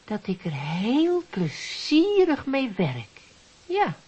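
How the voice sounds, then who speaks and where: An actress in a radio play, playing a naive, hopeful, starry-eyed woman with a husky voice and a hesitant, earnest manner. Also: high voice, huge pitch swings, slow pace, darkish tone, heavily acted. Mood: eager, unsure. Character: naive, hopeful loyal female customer, nervous and starry-eyed. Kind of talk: naturalistic radio drama acting. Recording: slightly dull radio drama recording.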